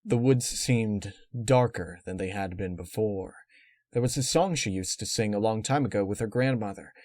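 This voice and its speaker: A man's voice: on the low side, moderate pace, a little mellow, dry recording. A man reading scripted fiction aloud as its narrator.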